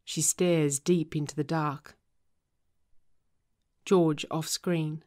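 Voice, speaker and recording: A woman narrates a drama script with a low voice and slow pace. Her delivery is measured and descriptive, setting out each stage direction. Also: steady tone, a little mellow.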